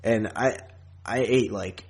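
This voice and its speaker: A man's voice: lowish voice, slow, darkish tone. A man hosting a podcast in casual chat, rambling along informally and chuckling often. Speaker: podcast host. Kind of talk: casual chat about horror movies and podcasting.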